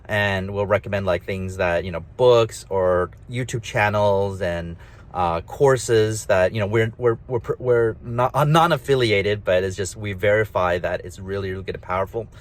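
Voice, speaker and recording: A man's voice: fairly low pitch, normal speed. A man hosting a solo talk, rambling casually with a nasal, slightly mumbly voice, lots of fillers and emphatic asides. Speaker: host.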